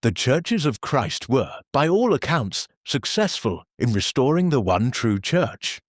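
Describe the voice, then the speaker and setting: A man playing a deadpan rule-bound minister. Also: mid-range voice, no room sound, studio quality. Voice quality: formal and deadpan, bureaucratic phrasing. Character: stiff, rule-bound male church minister. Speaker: church announcer. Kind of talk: satirical audio drama.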